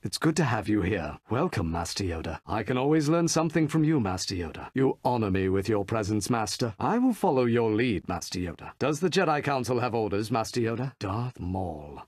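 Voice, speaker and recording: A man's voice: on the low side, sing-song, brisk, few pauses, a little mellow, breathy edge, no room sound, studio quality. A man playing a noble, courteous middle-aged Jedi master with polished, dryly wry manners, delivering short lines for a video game. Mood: wry, disdainful.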